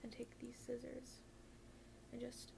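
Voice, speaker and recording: Slow, whispering woman in an ASMR roleplay. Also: a little high, monotone, noisy background. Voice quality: breathy whisper. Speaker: ASMR video creator. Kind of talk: whispered ASMR roleplay as an emo best friend.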